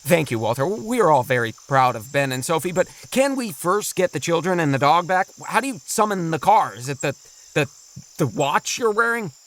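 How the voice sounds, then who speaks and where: Kindly, sensible dad, lively pitch. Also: male voice, moderate pace. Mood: reasonable, concerned. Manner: reasonable, encouraging. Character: kindly, sensible, practical father of two kids. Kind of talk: kids' audio drama acting.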